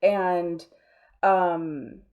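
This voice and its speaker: A woman's voice: on the low side, steady tone, drawn-out words, phone-quality sound. A woman talking casually as an interview guest, informal and rambling, with a self-deprecating way about her. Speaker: interview guest, audio drama producer.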